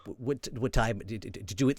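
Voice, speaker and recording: A man's voice: fairly low pitch, moderate pace, no echo, lightly acted. A man playing a fussy, fretful corporal who stammers hesitantly and officiously about proper procedure in an improvised game.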